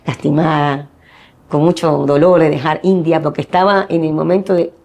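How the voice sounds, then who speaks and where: A woman's voice: low-pitched, steady tone, normal speed, darkish tone. A middle-aged woman from Ecuador, a missionary guest giving earnest personal testimony and acting out the dialogue she quotes.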